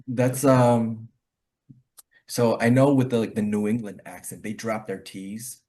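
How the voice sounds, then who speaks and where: A man's voice: fairly low pitch, flat delivery, unhurried, mellow. A man with a Connecticut accent chatting casually, rambling with many fillers and restarts. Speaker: club host. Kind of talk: casual conversation practice.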